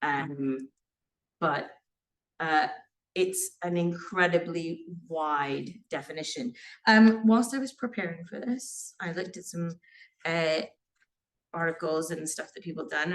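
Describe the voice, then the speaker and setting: A woman's voice: lowish voice, a bit bright, far from the mic. A woman in her forties, born in Sri Lanka, speaking as a criminal barrister at a seminar, unscripted, with many fillers and restarts. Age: 40s. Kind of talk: seminar talk. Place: seminar room.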